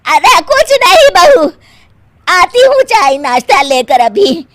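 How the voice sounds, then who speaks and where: A woman plays an elderly mother-in-law from a cartoon moral tale, scolding and sly. Her high voice drags out each complaint slowly and theatrically. The sound is close and dry. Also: big pitch swings, no echo, full character voice. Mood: exasperated. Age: older. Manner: theatrical, nagging, drawn-out delivery. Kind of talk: Hindi animated moral story voice acting.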